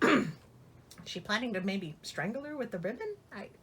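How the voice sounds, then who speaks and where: A woman's voice: mid-range voice, sing-song, moderate pace, noisy background. A woman reading a storybook aloud in a theatrical way, breaking in with sarcastic, exasperated comic asides. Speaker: storyteller, host of a reading show.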